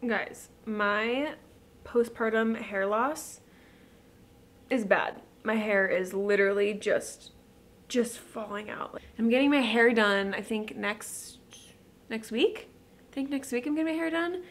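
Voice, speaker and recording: A young woman vlogging at home, chatting quickly and cheerfully straight to the camera about her day. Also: fairly high pitch. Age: young adult. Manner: bubbly, fast, talks straight to camera. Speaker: lifestyle vlogger. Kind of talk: casual chatty vlog talk. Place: bright home kitchen and living room.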